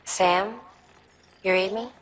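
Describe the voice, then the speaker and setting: A woman's voice: mid-range voice, steady tone, takes it slowly, very noisy, natural voice. An actress playing a solemn, composed woman offering support over a call in a video game cutscene, restrained in manner.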